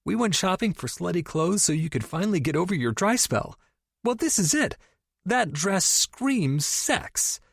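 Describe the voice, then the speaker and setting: A shrill, bubbly young woman who can't contain her excitement. Her voice jumps high and swings wildly as she gushes, breathless and squealing. Close, dry audiobook sound. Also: measured pace, vocal fry, slightly rough, dry recording, full character voice. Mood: gushing. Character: gushing, excitable young woman best friend. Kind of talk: audiobook character voice.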